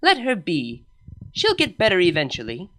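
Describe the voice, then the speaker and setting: A man voicing a formal, unbothered princess mare in a read-aloud story, speaking slowly and dismissively. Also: high voice, huge pitch swings, sharp tone, heavily acted.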